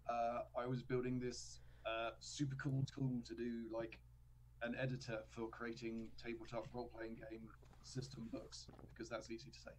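A man with an English accent from Cambridge, a podcast guest chatting on a video call with a headset, relaxed and self-deprecating. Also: mid-range voice, slight background noise, rough recording. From England. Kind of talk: casual chat on a video call.

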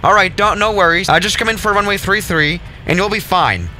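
A man's voice: high voice, fairly quick, pushed and bright, slight background noise, rough recording. A young adult man giving casual, jokey gameplay commentary with a sarcastic, mock-exasperated manner. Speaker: gaming video host.

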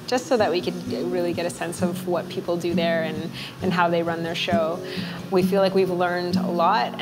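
A woman's voice: lowish voice, poor audio. A woman with a Canadian accent, a rescue co-founder, speaking earnestly in a seated fundraising interview. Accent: Canadian English. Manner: earnest, with small restarts. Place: indoor kitchen table interview. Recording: music bed under speech.

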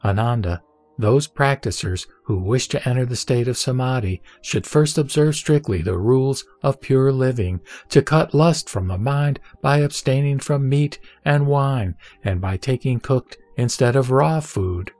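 A man reads a Buddhist scripture aloud in a measured, solemn, scripted recitation. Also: mid-range voice, lively intonation, darkish tone, no room sound. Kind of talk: scripted reading of a sutra. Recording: dry voice over background music. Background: background music.

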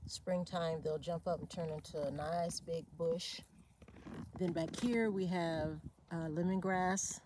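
A woman giving a relaxed, unhurried walk-through of her desert garden, with fillers and self-corrections along the way. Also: lowish voice, noisy background. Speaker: homesteader giving a garden tour. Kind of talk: casual walk-through narration.

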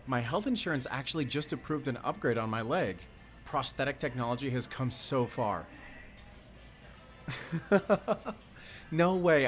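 A man's voice: medium pitch, fairly bright tone, close to an everyday voice. A man playing a friendly, playful young father in an intimate audio roleplay, chatting with bashful chuckles. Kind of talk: intimate audio roleplay acting, addressing the listener.

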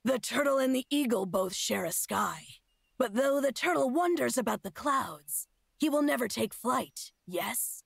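Husky, creaky feral huntress. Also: female voice, a little high, expressive, dry recording, clean studio sound, exaggerated voice. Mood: grave, measured. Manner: slow, cryptic, solemn speech. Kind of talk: fantasy game character acting.

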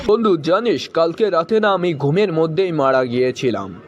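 A man's voice: fairly high pitch, lively intonation, normal speed, crowd noise, close to an everyday voice. A man voicing a hapless cartoon husband and friend who spins explanatory tales in a comic skit, in dull lo-fi audio. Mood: earnest storytelling. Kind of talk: cartoon joke skit dialogue.

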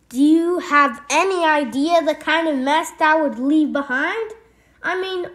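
A woman's voice: high voice, sharp tone, heavily acted. A woman voicing a fussy, tidy frog who is dramatic and easily horrified, in a theatrical children's storybook read-aloud. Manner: theatrical, exclaiming.

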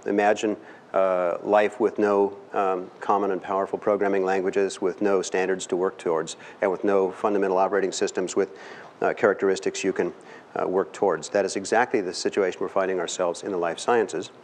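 A middle-aged man from California giving an earnest, emphatic lecture with pauses and fillers, recorded by a far camera in a large lecture hall. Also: on the low side, slight background noise. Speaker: guest speaker. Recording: long hall reverb.

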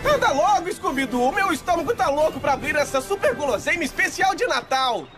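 An actor voicing a cowardly, hungry young man in a cartoon dub, excitable and whiny. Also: very high voice, a little fast, over loud music.

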